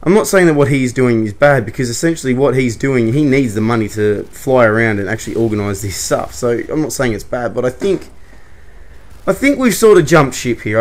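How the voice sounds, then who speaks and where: Rambling young man. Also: mid-range voice. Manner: rambling and opinionated, self-correcting with short laughs. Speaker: YouTuber. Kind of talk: casual opinion commentary to camera.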